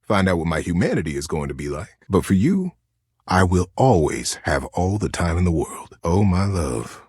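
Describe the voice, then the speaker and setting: A devoted stuffed teddy bear from a video game. The delivery is hugely animated, leaping up and sliding down in pitch, with a slightly husky edge. The words are folksy and earnest, with small hesitant stammers, recorded very dry. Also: male voice, normal speed, dry recording, heavily acted. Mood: tender, flirty.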